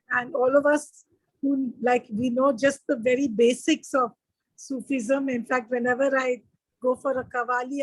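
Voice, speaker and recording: Woman on a video call, husky voice. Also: fairly high pitch, no room sound, low-quality recording. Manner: halting, many fillers and restarts. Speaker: member giving vote of thanks. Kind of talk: thank-you speech on video call.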